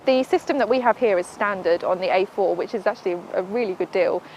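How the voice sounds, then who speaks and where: Fast-talking woman car reviewer. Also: medium pitch, a little mellow, soft background music, rough recording. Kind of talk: product review.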